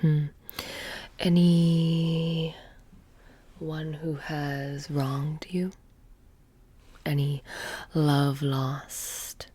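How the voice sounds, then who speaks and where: A low, breathy witch, played by a woman, keeps a haunted shop in this soft-spoken ASMR roleplay. She talks very slowly and coaxingly, slipping in and out of whispers with a sly, mysterious air. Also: fairly even intonation, exaggerated voice. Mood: probing, coaxing. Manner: slow, hushed, slipping in and out of whispers.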